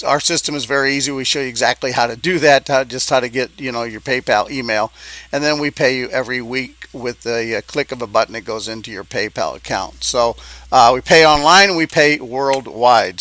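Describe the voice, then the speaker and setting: Man, business coach, informal sales pitch, forceful bright tone, conversational and halting, dry sound. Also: no echo. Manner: halting, conversational, checking in with "okay?".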